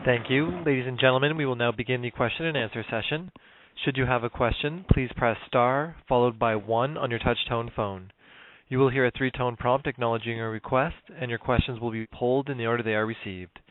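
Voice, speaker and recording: A man working as conference call operator, reading scripted announcements in a formal, measured way. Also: medium pitch, no room sound. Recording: dry, thin phone line.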